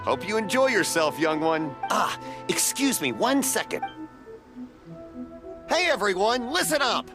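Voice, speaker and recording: A man's voice: high-pitched, sing-song, a little slow. A man playing a genial, chatty older festival stallholder in a video game, hearty and folksy. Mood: friendly, curious. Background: music.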